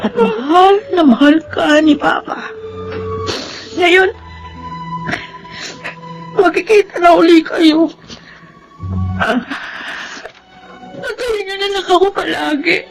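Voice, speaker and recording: A high, emotional young woman's voice plays a loving, grieving daughter in a Filipino radio drama. She speaks tenderly and pleadingly, with faint music in the recording. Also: slow pace, creaky, long pauses, exaggerated voice, poor audio. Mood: tearful, tender.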